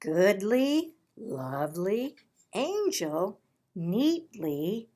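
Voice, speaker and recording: A woman reading a children's picture book aloud as a storyteller, with deliberate, dramatic phrasing. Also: mid-range voice, big pitch swings, dark tone. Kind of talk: expressive children's read-aloud.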